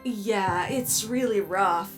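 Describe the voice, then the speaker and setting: A woman playing a jaded robot film crew member with a dry, unimpressed manner, in a comedic animated comic dub. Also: medium pitch, slow, faint music behind, natural voice.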